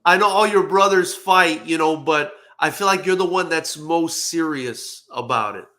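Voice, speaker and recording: A man's voice: on the high side, moderate pace, sharp tone. A man hosting an interview, enthusiastic and rambling, with many fillers as he asks questions. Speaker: interview host. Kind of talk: casual interview.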